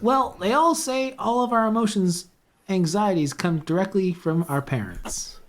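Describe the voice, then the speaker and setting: A woman's voice: medium pitch, expressive, measured pace, a little hiss or hum, natural voice. A woman reading the part of a supportive, earnest seventeen-year-old girlfriend at a script table read. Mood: encouraging.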